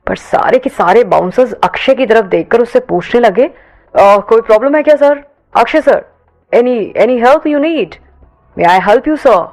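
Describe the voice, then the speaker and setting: A woman's voice: medium pitch, a little mellow, low-quality recording. A woman reading a scripted audio story as a dramatic narrator.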